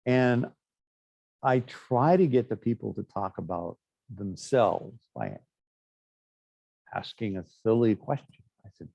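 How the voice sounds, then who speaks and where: A man's voice: fairly low pitch, lively intonation, slow pace, vocal fry, long pauses, warm tone, slightly rough, dry recording, muffled recording. A middle-aged man teaching a class in a chatty, anecdotal way, with frequent fillers and asides. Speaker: instructor. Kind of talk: classroom lecture.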